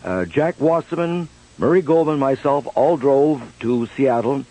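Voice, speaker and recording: A man's voice: mid-range voice, a little slow, mellow, low-quality recording. A middle-aged man hosting a radio show, reminiscing in a conversational, anecdotal way. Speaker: radio host. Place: radio studio.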